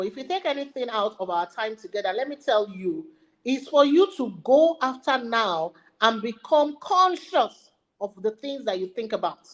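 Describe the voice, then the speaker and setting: A woman's voice: on the high side, poor audio. A woman with a Nigerian accent giving an emphatic motivational webinar talk, repeating her key phrases for effect. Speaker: learning and development speaker.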